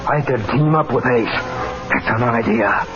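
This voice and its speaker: A man's voice: mid-range voice, unhurried, over loud music, lightly acted. A scheming small-time crook of the Old West, played by a male radio actor, muses greedily to himself. The old radio drama recording sounds dull. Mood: calculating. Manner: musing aloud to himself. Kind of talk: 1940s-50s radio Western acting.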